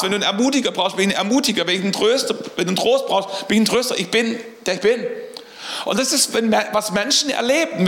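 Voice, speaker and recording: A man's voice: high-pitched, fairly bright tone, roomy sound. A middle-aged man preaching a sermon as pastor, earnest, often repeating words as he searches for the phrasing.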